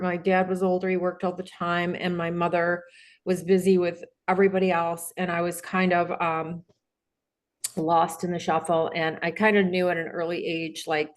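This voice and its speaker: A woman's voice: fairly low pitch, flat delivery, moderate pace. A middle-aged woman, a podcast guest and coach, telling her life story in a candid, rambling way with many fillers and restarts. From Detroit, Michigan, USA.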